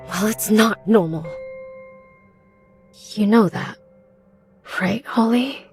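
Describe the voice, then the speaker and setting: A woman's voice: medium pitch, slow pace, a little mellow, soft background music. A woman playing a blunt, skeptical but protective young woman in a horror visual novel, with a frank, casual and sardonic manner. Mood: concerned, firm.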